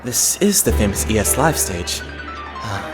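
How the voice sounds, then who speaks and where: A man voicing a composed, teasing young male idol mentor in an anime game fan dub, calm and polite. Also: lowish voice, unhurried, over loud music. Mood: thoughtful.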